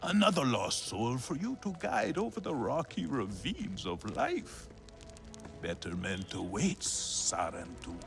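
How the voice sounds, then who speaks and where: A man's voice: medium pitch, huge pitch swings, unhurried, vocal fry, exaggerated voice. A man playing a sardonic, grudging alien clansman with a dry, sarcastic drawl in a game cutscene over music. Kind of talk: video game cutscene acting. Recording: music behind the voice.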